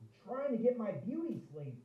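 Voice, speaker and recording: An irritated, sleepy monster brother from a cartoon audio drama, acted by a man. His high, vain complaints sound distant and echoey, with a dulled tone. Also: sing-song, moderate pace. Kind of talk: animated audio drama acting. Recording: muffled, echoey, distant from mic.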